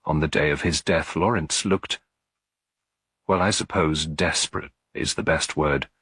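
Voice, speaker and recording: A man's voice: a bass voice, unhurried, a bit bright, husky, no echo, studio quality, heavily acted. A man voicing an unhappy younger woman in a detective story, speaking in a subdued, hesitant, confessional manner. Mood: regretful, hesitant. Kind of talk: detective story character reading.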